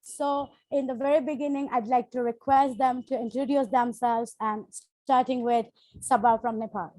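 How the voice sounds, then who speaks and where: A woman's voice: fairly high pitch, steady tone, normal speed, a little mellow, dry recording, low-quality recording. A woman from Bangladesh moderating a panel, making polite, formal introductions.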